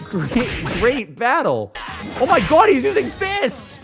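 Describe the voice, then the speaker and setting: A man reacts live to video game footage with widely swinging pitch. He talks casually in slangy exclamations and often repeats himself, with game music clearly behind him. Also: wildly swooping pitch, slow pace.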